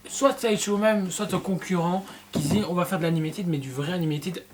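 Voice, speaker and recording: A Belgian French man co-hosts a relaxed review of a collectible statue, speaking in a somewhat high voice. His comments come in a measured way with the odd hesitation. A little background noise can be heard. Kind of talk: casual review chat.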